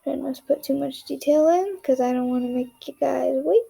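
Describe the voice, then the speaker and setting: A young woman talks through a drawing tutorial in a flat, rambling monotone, often half-whispering while she draws. Her voice sits high but has a mellow, gravelly edge. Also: normal speed, rough recording. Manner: monotone, rambling, self-deprecating. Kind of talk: casual drawing tutorial narration.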